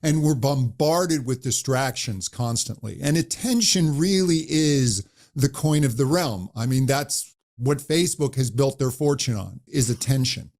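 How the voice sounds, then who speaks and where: A man who coaches voices, talking animatedly in an interview and demonstrating high and low pitch as he explains. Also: measured pace, hardly any pauses, darkish tone. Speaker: voice coach guest. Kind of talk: conversational interview.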